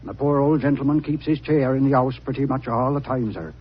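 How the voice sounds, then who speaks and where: An actor playing a respectful, folksy country gardener in a 1940s radio drama. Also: male voice, mid-range voice, fairly quick, breathy edge, close to an everyday voice. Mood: humble, obliging. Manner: respectful, folksy, repeats "sir". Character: deferential old-fashioned English country gardener. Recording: muffled 1940s radio recording.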